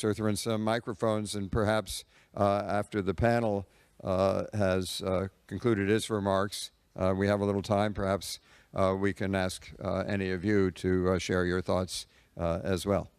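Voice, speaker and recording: A man moderating a conference panel, speaking haltingly with many uh fillers. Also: fairly low pitch, clean tone, no echo. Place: conference hall.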